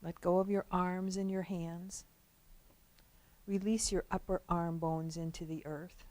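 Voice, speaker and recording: A woman leading a guided relaxation as a meditation instructor, speaking gently and without hurry, with long pauses between phrases. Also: on the low side, fairly even intonation, no room sound.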